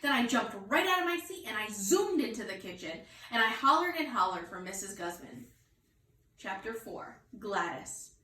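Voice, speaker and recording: A woman teacher reading a children's story aloud with expressive storytelling. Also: mid-range voice, moderate pace. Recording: roomy echo, distant mic.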